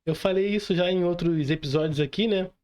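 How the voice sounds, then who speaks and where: Higher-voiced Brazilian man hosting. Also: brisk, darkish tone. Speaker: podcast host. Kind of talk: casual chat.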